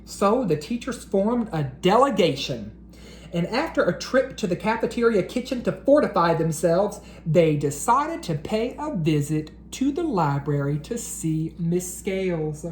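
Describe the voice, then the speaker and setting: A woman reading a children's picture book aloud in an expressive, unhurried storyteller's voice. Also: lowish voice, echoey room, a little hiss or hum.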